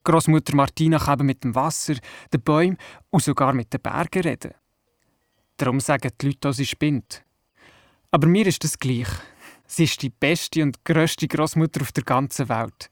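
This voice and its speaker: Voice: medium pitch, normal speed, no echo, studio quality, natural voice. A performer playing a curious, doubting young boy in a Swiss German radio play, asking questions and narrating. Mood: narrating fondly. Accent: Swiss German, Glarus area. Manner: inquisitive, skeptical.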